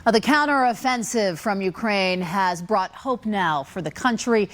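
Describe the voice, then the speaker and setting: A woman's voice: mid-range voice, measured pace. A female news anchor with an American accent reads scripted broadcast copy. Her voice is in an average register for a woman.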